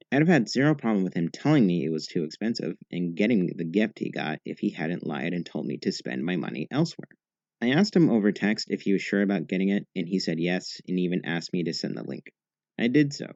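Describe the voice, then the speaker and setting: A man narrating online posts in a steady, even reading voice. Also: sits low, dry recording. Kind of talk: scripted narration. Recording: slightly dull, dry recording.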